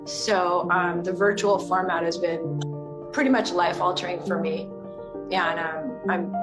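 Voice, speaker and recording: A woman, an art student, speaking earnestly with some fillers. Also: fairly low pitch.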